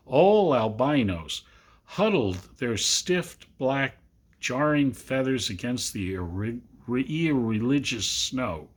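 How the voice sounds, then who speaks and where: A man reading a literary Christmas story aloud to family, with expressive, deliberate emphasis. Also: medium pitch. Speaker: amateur storybook reader.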